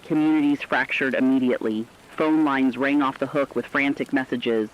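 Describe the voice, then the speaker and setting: A man of medium pitch narrates a scripted end-of-the-world horror chronicle. He sounds solemn and deliberate, and the recording is muffled and lo-fi, like an old radio broadcast. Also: fairly even intonation.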